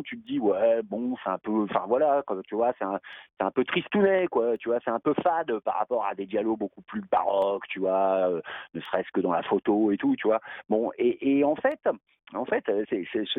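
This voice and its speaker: A man's voice: medium pitch, no echo. A man talking loosely about a film, full of hesitations and fillers. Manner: rambling, hesitant, many fillers. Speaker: guest. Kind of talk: casual film discussion. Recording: tinny dry phone line.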